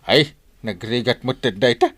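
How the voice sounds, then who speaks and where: A man playing an excitable, grumbling village captain in a radio comedy drama, swinging between muttering and yelping exclamations. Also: mid-range voice, normal speed, no echo, natural voice. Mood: exasperated.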